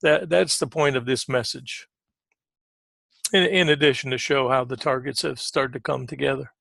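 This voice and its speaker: A man giving informal live market commentary as a trading coach, conversational and repetitive for emphasis, often clearing his throat. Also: mid-range voice, fairly even intonation, normal speed, fairly bright tone, no room sound, clean studio sound.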